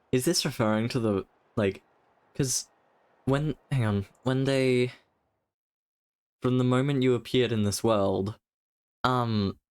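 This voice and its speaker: A man giving casual live stream commentary on a game, halting as he thinks out loud. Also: mid-range voice. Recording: faint game music behind.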